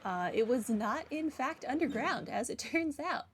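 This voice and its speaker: A woman's voice: sits high, expressive, unhurried, a little hiss or hum. A woman streamer giving casual, rambling game commentary in a dry, wry manner. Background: game music.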